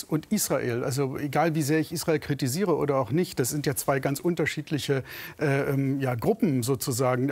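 A man presenting a TV news program, interviewing a guest with brisk, fluent questions. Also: a little high, darkish tone, breathy edge.

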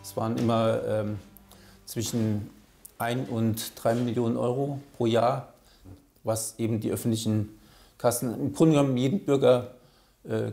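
A man explaining things in an interview as an official, with halting, hesitant phrasing. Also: mid-range voice, gravelly, warm tone. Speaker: forestry enforcement official, interviewee.

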